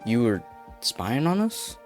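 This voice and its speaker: A man voicing a terse, gruff, commanding pioneer leader in a game drama fan dub. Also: medium pitch, sing-song, takes it slowly, a little mellow. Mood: accusing. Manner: terse, barking orders. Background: background music.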